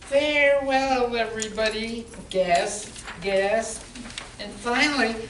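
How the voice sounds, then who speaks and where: A woman playing a wounded barmaid, halting and theatrical, in an amateur comic radio play. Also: fairly high pitch, fairly bright tone. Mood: mock dying. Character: wounded barmaid making an overwrought comic farewell. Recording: distant echoey room, faint noise.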